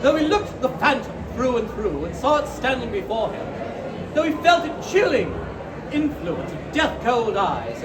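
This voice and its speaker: High pitched guy reading a story dramatically, declaiming, far from mic with noise behind. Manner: theatrical, declamatory. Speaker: costumed reader playing a Victorian author. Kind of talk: dramatic storybook narration, performed live. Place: live performance at a fair. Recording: echoey, distant, noisy live fair recording.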